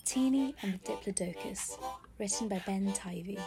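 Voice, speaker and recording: A woman's voice: medium pitch, moderate pace, creaky. A woman reading the credits and narration of an audio drama in a plain, matter-of-fact manner. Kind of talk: scripted storybook narration. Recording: music underneath.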